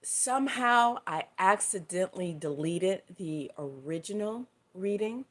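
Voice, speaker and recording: A middle-aged woman with a dry, slightly hoarse voice, talking loosely on a live stream, rambling with fillers and correcting herself. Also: mid-range voice, expressive, a little slow, a bit bright. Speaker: live stream host and tarot reader. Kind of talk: casual spoken livestream talk.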